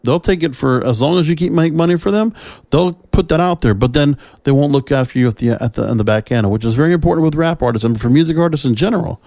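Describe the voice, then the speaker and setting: A man's voice: fairly high pitch, quick pace, warm tone, no room sound, clean studio sound. A man hosting a solo podcast, giving conversational opinion commentary on entertainment news, often asking rhetorical questions and rephrasing himself mid-sentence. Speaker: podcast host.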